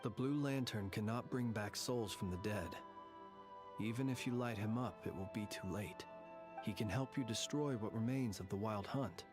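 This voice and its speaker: An actor playing a solemn, courtly lighthouse warden who consoles ghosts in a video game, measured and eloquent. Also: male voice, lowish voice, over music, natural voice. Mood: composed, grave. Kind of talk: video game character acting.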